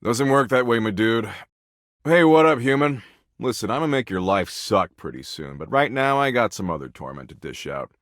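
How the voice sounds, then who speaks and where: An actor playing a cocky, slangy slacker tormentor in a video game, flippant and mocking. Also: male voice, medium pitch, lively intonation, moderate pace, no echo, studio quality, lightly acted. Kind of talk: video game character acting.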